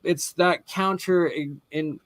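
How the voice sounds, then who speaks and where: A male host with a fairly high voice talks in long, winding sentences that keep circling back, as if thinking aloud on a livestream. The sound is dry and close, with almost no room around it. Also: fairly even intonation, slow, a bit bright, no room sound. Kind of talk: livestream discussion. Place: lives in Calgary.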